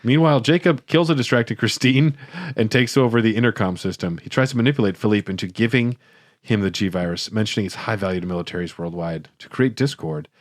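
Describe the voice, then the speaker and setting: A man with a somewhat low voice, captured very dry and close. He co-hosts a podcast, reading plot recaps in a casual way with joking comments mixed in. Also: brisk, no echo. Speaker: podcast co-host.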